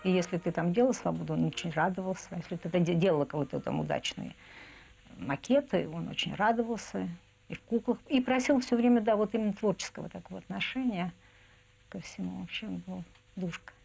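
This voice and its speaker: A woman's voice: fairly low pitch, lively intonation, a little fast, gravelly, darkish tone, breathy edge, rough recording. A woman in her fifties reminiscing in an interview about her work as an artist. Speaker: animation artist recalling a colleague. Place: indoor room, seated at a table.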